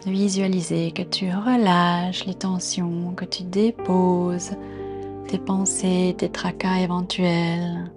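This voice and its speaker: A woman guiding a body scan meditation in a calm, gentle, unhurried manner, giving soft instructions with pauses. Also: lowish voice, music in the background. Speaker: meditation guide.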